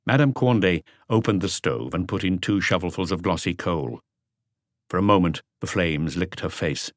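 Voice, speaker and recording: Male audiobook narrator, low pitch, lively intonation, dry studio-like sound. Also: normal speed, no echo. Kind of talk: scripted story narration.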